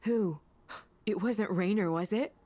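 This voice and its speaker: A woman's voice: mid-range voice, measured pace, no room sound, close to an everyday voice. A woman playing a curious, self-deprecating young woman in a visual novel, in a natural conversational way. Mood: impressed, teasing. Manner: conversational, animated, self-effacing. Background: game music.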